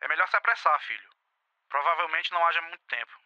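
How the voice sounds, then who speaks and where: Through a dry, telephone-filtered line comes a radio drama policeman with bad news to give. His voice is high and very sharp, yet grave and matter-of-fact. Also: fast, clean tone, no echo, highs cut off. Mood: grave, urgent. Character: policeman breaking bad news over the phone.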